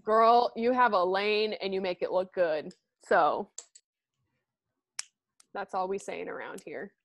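A woman's voice: medium pitch, takes it slowly, no echo, dull audio. A woman co-hosting a podcast, chatting casually and rambling with fillers and laughs. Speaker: podcast co-host. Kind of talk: casual chat.

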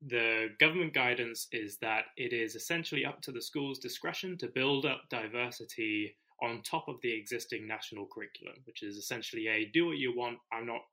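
A man from the UK co-hosting an education podcast, explaining his points in a measured, thoughtful way. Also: medium pitch, pushed and bright, poor audio. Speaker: podcast co-host, teacher. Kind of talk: casual conversation.

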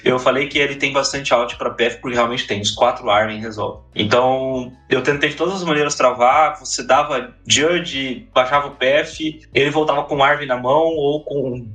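A man, chatting casually on a podcast, telling a relaxed story full of slang and restarts. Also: mid-range voice, normal speed, hardly any pauses. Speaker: podcast co-host, competitive card player.

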